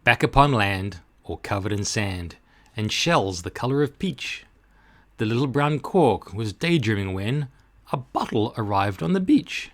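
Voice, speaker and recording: A man reading a children's rhyming storybook aloud in rhythmic, expressive narration. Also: on the low side, a little slow. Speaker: storybook reader.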